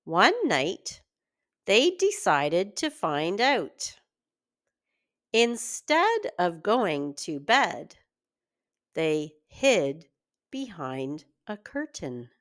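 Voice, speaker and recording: Very slow, animated woman reading a fairy tale. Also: lowish voice. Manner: expressive, deliberate storytelling for young children. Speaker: children's story reader, teacher. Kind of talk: read-aloud children's fairy tale narration.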